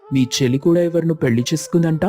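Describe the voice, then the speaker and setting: A man voicing a friendly, informal brother-in-law in an animated moral story, speaking in a familiar, colloquial way. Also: on the high side, fast, faint music behind, lightly acted. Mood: familiar, welcoming. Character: friendly, informal brother-in-law running a struggling small hotel.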